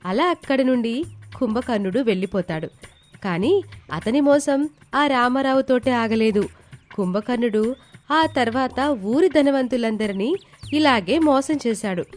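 A woman's voice: a little high, lively intonation, unhurried. A woman reading a scripted moral tale as the narrator, in storytelling style. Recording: background music behind the voice. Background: music.

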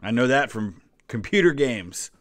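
A man's voice: medium pitch, animated, a little slow, a bit bright, no room sound. An American man in his forties giving casual, conversational commentary and explaining history as he goes. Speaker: history vlogger, host.

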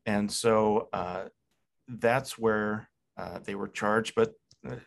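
Halting, low, creaky-voiced man. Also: fairly even intonation. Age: middle-aged. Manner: halting, hesitant delivery with repeated words. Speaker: historian guest. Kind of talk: explanatory historical discussion. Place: small home office, indoors. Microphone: video call computer mic.

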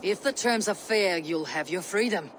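Stern viking warrior boss in a video game, terse orders, highish voice, faint background noise. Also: male voice, expressive, moderate pace, a bit bright, rough recording. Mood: scornful resolve. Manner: terse, commanding. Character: stern, menacing Viking warrior leader, blunt and commanding.

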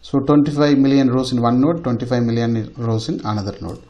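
A man with a mid-pitched voice is teaching in an informal tutorial style. He explains as he goes, like an instructor walking through a demonstration. He often says a phrase again to drive it home. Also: fairly even intonation, a little fast, dull audio. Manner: repeats phrases for emphasis. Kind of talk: informal technical lecture over a screen demonstration.

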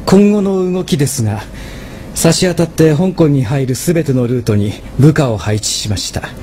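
An actor playing a dutiful, level-headed mafia aide in an audio drama, reporting formally and in measured phrases. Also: male voice, fairly high pitch, animated, dark tone, a little hiss or hum, close to an everyday voice. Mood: sober. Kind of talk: Japanese drama CD acting.

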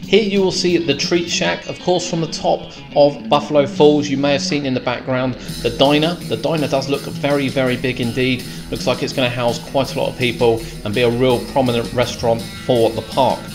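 A man with a British accent narrates a tour in the manner of a theme park vlogger. His pitch is ordinary for a man. Guitar music plays clearly behind his descriptive commentary. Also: fairly even intonation, a little fast, hardly any pauses.

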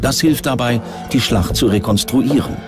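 A man's voice: medium pitch, normal speed. A man reading scripted documentary narration as the narrator. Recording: music bed under the voice. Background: music.

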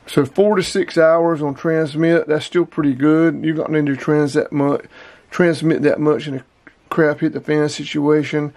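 A man's voice: on the high side, fairly even intonation, unhurried, darkish tone, no room sound. A man in his fifties, talking casually and a bit ramblingly through a gear demo in a small indoor room, recorded on a close camera mic. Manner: plainspoken, rambling, repeats numbers. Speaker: outdoors and radio hobby vlogger.

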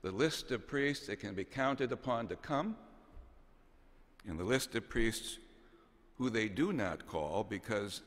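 A man in his sixties, a bishop delivering a homily from notes in a measured, solemn, deliberate way with pauses, speaking into a pulpit microphone in a large cathedral. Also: on the low side, animated. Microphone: gooseneck pulpit microphone. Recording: long cathedral reverb.

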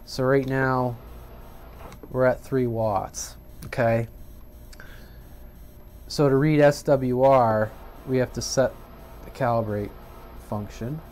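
A man's voice: medium pitch, a little mellow, a little hiss or hum. A man giving an unscripted, casual hobby radio tutorial in a plain-spoken, colloquial way, often checking in with the listener. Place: indoors at a home workbench.

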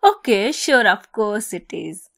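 A woman playing a teasing, affectionate young woman in love in an ASMR romance roleplay, speaking softly and playfully, at times breathily. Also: mid-range voice, big pitch swings, slow pace, gravelly, no room sound, clean studio sound, exaggerated voice.

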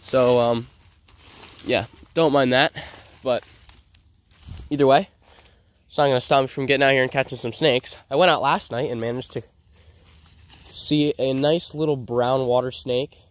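A man's voice: medium pitch, moderate pace, long pauses. A young man with a congested, stuffy voice, chatting casually as a vlogger while searching outdoors in the woods, recorded on a head-mounted action camera. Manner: chatty, excited, self-narrating while searching.

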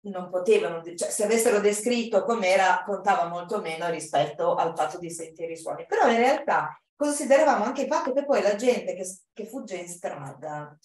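Woman, medium pitch, seminar host, reflective and hesitant, roomy echo. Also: expressive, fairly quick, hardly any pauses. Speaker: lecturer hosting a guest. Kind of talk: informal, reflective seminar commentary. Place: classroom, indoors. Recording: echoey classroom sound.

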